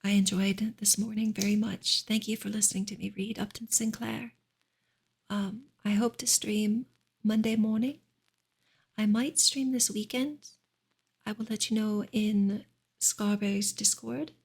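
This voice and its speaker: A woman speaks in a soft ASMR manner, gentle and close to a whisper. Her voice is extremely breathy with a creaky edge, and her intonation stays quite flat at a medium pitch. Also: unhurried. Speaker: ASMR streamer and reader. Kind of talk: soft-spoken ASMR book reading and chat.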